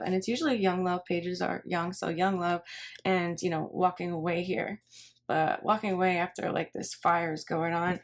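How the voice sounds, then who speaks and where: A woman giving a casual tarot reading, thinking aloud in a rambling way and often repeating her phrases. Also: fairly low pitch. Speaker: tarot reader. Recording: clear background noise, card shuffling and clicks.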